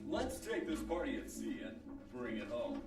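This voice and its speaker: Someone doing a slick hammy old-time radio ad announcer, swoopy delivery, roomy stage, loud music. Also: mid-range voice, very animated, normal speed, creaky, distant mic. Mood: gleeful, hammy. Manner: hammy salesman patter with relish. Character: slick, boisterous magic-voice sandwich pitchman in parody radio ad. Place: live studio broadcast before an audience.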